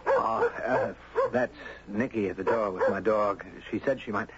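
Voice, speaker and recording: An actor playing a gentle, sympathetic man, earnest and hesitant, in a 1970s radio drama. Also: lowish voice, wildly swooping pitch, measured pace. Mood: concerned, urgent. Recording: muffled, very noisy 1970s radio recording.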